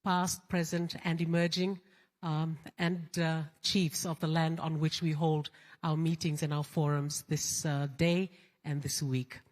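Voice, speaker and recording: Low-voiced woman politician from Fiji. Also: flat delivery, measured pace, a little mellow. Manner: candid, storytelling, hesitant fillers. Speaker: panel speaker, politician. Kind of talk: conference panel answer. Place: large conference hall. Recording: long hall reverb.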